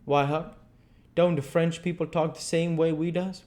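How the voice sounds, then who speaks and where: A man's voice: fairly high pitch, level tone, moderate pace, darkish tone, voice pushed up, lightly acted. A man voicing a stubborn, uneducated Southern man in an audiobook, with a folksy dialect and insistent reasoning. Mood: curious. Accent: American South. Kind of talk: audiobook character dialogue.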